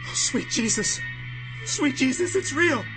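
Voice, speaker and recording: A woman's voice: high voice, expressive, takes it slowly, low-quality recording. A woman playing a terrified, panicking victim in a 1940s-style radio horror play. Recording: radio drama clip with music.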